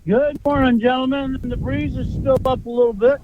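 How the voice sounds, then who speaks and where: High-voiced man calling in from outdoors. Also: steady tone, moderate pace. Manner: dry, matter-of-fact storytelling. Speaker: charter fishing caller. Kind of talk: phoned-in fishing report. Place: outdoors by a marina. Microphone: phone. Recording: dull phone-like line with noise.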